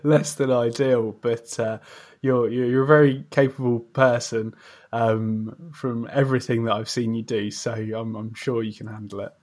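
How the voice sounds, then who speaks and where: Male podcast host, mid-pitched voice, friendly interviewer delivery. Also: little pitch movement, normal speed, darkish tone. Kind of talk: scripted podcast intro and friendly interview chat.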